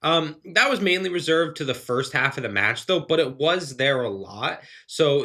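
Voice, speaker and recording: A man with a bright, forward voice that sounds slightly phlegmy from allergies. He talks through his thoughts in loose, earnest run-on sentences. His delivery is casual and analytical, like a host giving a spoken review. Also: mid-range voice. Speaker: wrestling reviewer, show host.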